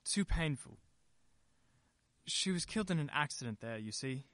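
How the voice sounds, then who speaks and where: A man's voice: fairly high pitch, expressive, normal speed, fairly bright tone, no room sound. Man playing an evasive, easily rattled husband. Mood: subdued, pained. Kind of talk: radio play acting.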